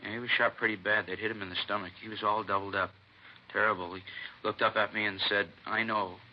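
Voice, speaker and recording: An actor playing a rattled male witness in a vintage radio drama, talking in a folksy, hesitant way with a gravelly voice. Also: fairly low pitch, steady tone, fairly quick, a little hiss or hum, close to an everyday voice. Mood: shaken. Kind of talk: 1950s radio drama acting. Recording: dull vintage radio broadcast.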